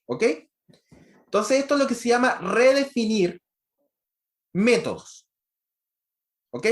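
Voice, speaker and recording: A man's voice: very high voice, big pitch swings, takes it slowly. A man giving an online university lecture on programming, explaining step by step and checking in with frequent rhetorical questions.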